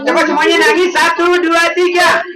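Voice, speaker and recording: A man telling a religious story to young children in a school, animated and sing-song, coaxing his audience to answer. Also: extremely high pitch, brisk. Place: school classroom.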